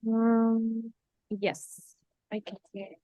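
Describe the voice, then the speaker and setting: A woman giving brief, quiet replies as a webinar co-host. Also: fairly high pitch, little pitch movement, drawn-out words. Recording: tinny call audio.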